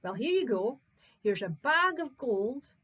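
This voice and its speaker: A woman voicing a kindly, grieving middle-aged baron in a told folk tale who speaks in a warm, formal, gently commanding way. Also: fairly high pitch, big pitch swings, unhurried, slightly rough, lo-fi sound, heavily acted.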